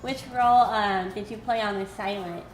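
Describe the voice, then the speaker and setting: A woman's voice: mid-range voice, steady tone, moderate pace, roomy sound, soft background music, poor audio. A woman in her thirties interviewing actors, asking simple questions with many "cool"s and "mm-hmm"s. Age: 30s.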